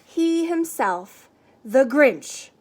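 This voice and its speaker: A woman reading a children's picture book aloud expressively, with dramatic pauses and a sing-song rhyming delivery. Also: high voice, expressive, darkish tone.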